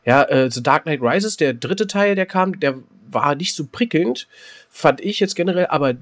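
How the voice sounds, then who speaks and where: A man's voice: fairly high pitch, lively intonation, normal speed. A man co-hosting a podcast in casual chat, hesitant and repeating words as he gives opinions. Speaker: podcast co-host.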